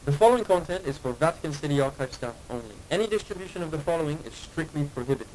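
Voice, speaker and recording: A man's voice: a little high, lively intonation, fast talker, a little mellow, natural voice. A man playing an official archive announcer, reading scripted confidential narration formally for an analog horror film. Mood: formal, solemn. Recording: faintly noisy archive tape.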